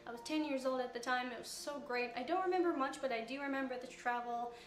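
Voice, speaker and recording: A teenage girl from Canada with a high-pitched voice, chattily answering fan questions in a casual Q&A. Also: quick pace, reverberant. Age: teens. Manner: chatty, rambling with fillers. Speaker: YouTuber answering Q&A. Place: room with couch, recorded in a reacted video.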